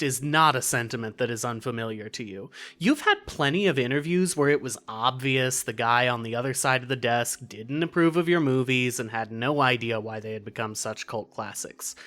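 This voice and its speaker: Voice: medium pitch, a bit bright. A narrator reading scripted second-person fiction for an audio story.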